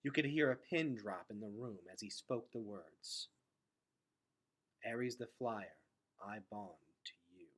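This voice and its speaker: Guy doing dramatic story read-aloud, bright voice, super animated pitch. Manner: expressive, emphatic. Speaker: story reader.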